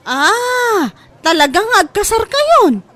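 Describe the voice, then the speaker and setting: A woman's voice: extremely high pitch, big pitch swings, takes it slowly, bright, forceful tone, a faint crowd, heavily acted. A woman playing a nosy, teasing market stallkeeper in an Ilocano radio comedy drama, with an Ilocano accent. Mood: teasing, surprised.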